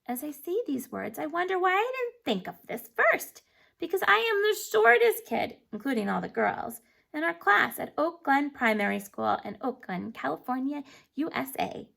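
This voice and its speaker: A woman reading a children's chapter book aloud in an animated, emphatic way, stumbling over words now and then. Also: sits high, normal speed, vocal fry. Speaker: storybook reader.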